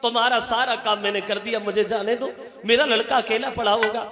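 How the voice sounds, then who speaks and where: A man's voice: extremely high pitch, fairly even intonation, a little fast, crowd noise. A man voicing a grieving young mother who pleads tearfully for her dying son. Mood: pleading. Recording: echoey hall with tabla music.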